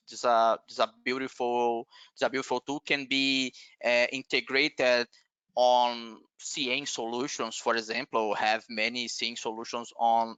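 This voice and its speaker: A man whose native language is Portuguese, giving a webinar talk haltingly with many filler sounds over a video call. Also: medium pitch, pushed and bright, smooth, dry recording, dull audio. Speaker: guest speaker.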